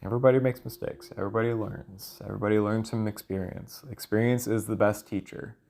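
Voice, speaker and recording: A man's voice: lowish voice, steady tone, fast talker, creaky, darkish tone. Man rambling through his thoughts aloud. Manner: hesitant, searching, trails off mid-thought. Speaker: solo audio log creator. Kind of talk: rambling, thinking-aloud monologue.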